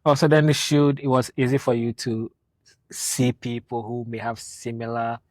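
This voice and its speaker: A young man from Ghana hosting a casual interview, relaxed and rambling, laughing often. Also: medium pitch, moderate pace, no room sound. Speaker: podcast host.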